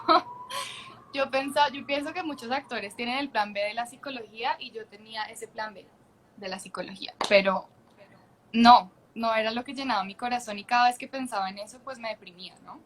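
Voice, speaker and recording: Chatty Colombian woman, high voice. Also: a little fast, noisy background. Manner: chatty and candid, laughs often, many restarts. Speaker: actress, guest. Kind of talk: casual interview chat. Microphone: video call.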